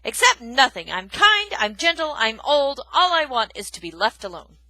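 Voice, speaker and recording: Woman putting on a blustering old porcupine, loud and grumpy, storybook, high and lively, dry sound. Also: a little slow, no room sound, full character voice. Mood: gruff. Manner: blustering, shouting.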